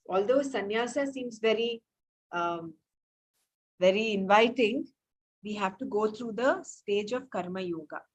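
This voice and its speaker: A woman leading a casual scripture study group over a video call, explaining terms patiently and reading passages slowly. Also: mid-range voice, husky. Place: home, remote meeting.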